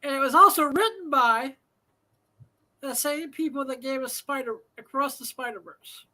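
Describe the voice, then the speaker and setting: A man's voice: very high voice, moderate pace, bright, forceful tone, poor audio. A man hosting a live show from home, talking in a rambling, profane way with many fillers, a slightly nasal voice and a dry throat. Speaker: host. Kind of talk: casual live show monologue.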